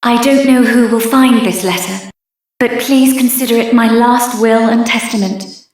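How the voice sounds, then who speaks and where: Casting: fiery young British mage for a video game, very high-pitched, assertive and expressive. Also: female voice, no room sound, studio quality. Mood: solemn, resolute. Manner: assertive, expressive, theatrical delivery. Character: headstrong, fiery young woman mage avenging her brother. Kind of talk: video game character voice acting.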